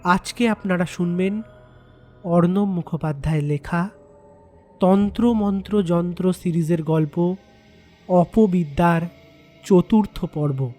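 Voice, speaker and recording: A man's voice: high-pitched, slow, pauses often, mellow, soft background music. A man making a scripted title announcement for a story series.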